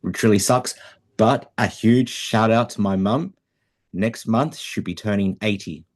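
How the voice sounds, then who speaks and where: Deep-voiced man, hesitant. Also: unhurried. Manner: hesitant, many ums, dry humour. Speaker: podcast co-host. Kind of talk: casual chat.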